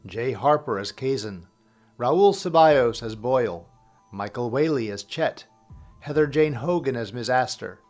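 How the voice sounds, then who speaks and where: A man giving scripted credits and announcements as a show's producer, in a friendly, straightforward way. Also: medium pitch. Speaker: producer and announcer of audio drama show.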